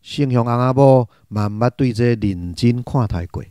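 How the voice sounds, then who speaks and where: A man's voice: mid-range voice, normal speed, warm tone, lightly acted. A man with a Taiwanese accent narrating a literary radio drama from a script.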